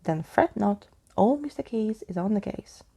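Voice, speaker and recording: A woman's voice: mid-range voice, animated, normal speed, creaky, dark tone, breathy edge. A woman voicing a courtly, eccentric male skeleton from a storybook, with a pompous, old-fashioned manner. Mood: keenly inquisitive.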